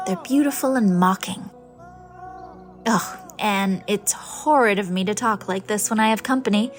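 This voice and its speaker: A woman playing a tender, fanciful ageing spinster with an expressive manner in a dramatised audiobook. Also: mid-range voice, natural voice. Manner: wistful, expressive.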